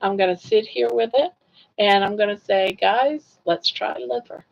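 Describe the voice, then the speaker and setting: A woman's voice: medium pitch, measured pace, creaky, highs cut off. An older woman hosting a casual livestream, telling stories in a chatty way, laughing often and reacting to chat comments. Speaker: livestream host.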